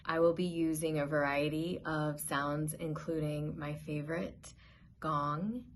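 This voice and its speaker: A woman hosting a guided meditation, giving gentle, soothing, unhurried instructions as she introduces the session. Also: sits low, fairly even intonation.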